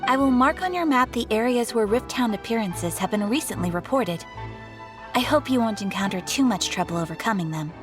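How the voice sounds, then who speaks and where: A woman's voice: a little high, expressive, a little fast, music in the background, natural voice. A woman playing a composed female guild receptionist in a game, giving a formal, courteous briefing.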